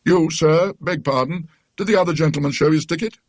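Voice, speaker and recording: A man's voice: fairly high pitch, fairly quick, a little mellow, dry recording, studio quality, pitched above the performer's own voice, natural voice. A British man voicing a plain-spoken, deferential ticket official in a ghost story, with colloquial turns of phrase. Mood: polite, querying. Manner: deferential, colloquial, muttering asides.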